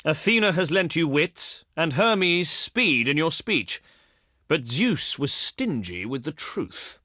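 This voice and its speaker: An actor playing a haughty, pious and slyly threatening ancient Greek diplomat in a video game, speaking in pompous, oratorical phrases. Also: male voice, on the high side, very animated, slow, bright, forceful tone, no echo, clean studio sound, full character voice. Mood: firm, dismissive. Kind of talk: theatrical scripted game dialogue.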